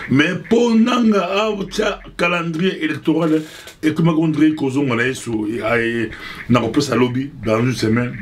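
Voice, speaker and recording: A man's voice: mid-range voice, a little hiss or hum. A man with a Congolese accent, a pastor giving casual, rambling political commentary that loops and repeats itself. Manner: rambling, repetitive, mixes two languages.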